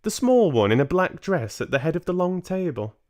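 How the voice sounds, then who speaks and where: Man playing a high-voiced, mischievous old grandmother. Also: very animated, fairly quick, darkish tone. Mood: sly, assured. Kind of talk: storybook character reading.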